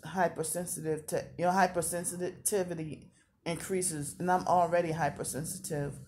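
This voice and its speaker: A woman's voice: lowish voice, darkish tone, a little hiss or hum. A woman in her thirties talking casually in a confessional vlog, rambling and repeating herself. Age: 30s. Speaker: vlogger.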